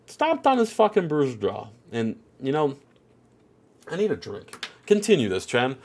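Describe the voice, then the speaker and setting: A man's voice: medium pitch, wildly swooping pitch, unhurried, darkish tone. Stuffy-nosed man rambling through game commentary. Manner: rambling, heavy on "you know" and "at the end of the day". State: stuffy, congested nose. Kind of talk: casual commentary on a wrestling sim.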